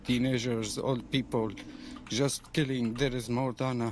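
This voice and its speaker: A man's voice: mid-range voice, flat delivery, unhurried. A man from Syria giving a pleading, repetitive personal testimony in an amateur video appeal. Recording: noisy amateur video clip.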